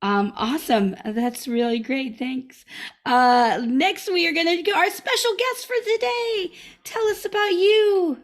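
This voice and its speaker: A woman's voice: very high voice, unhurried. A woman hosting a live online improv comedy show over a video call, upbeat and chatty with many fillers and restarts.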